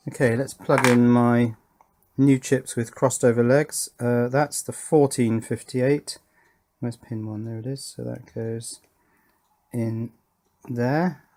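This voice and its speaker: A man presents a hands-on technical demonstration in a casual way. His voice sits at an average pitch. He thinks aloud as he works, often talking to himself as much as to the viewer. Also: a little mellow. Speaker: electronics hobbyist presenter.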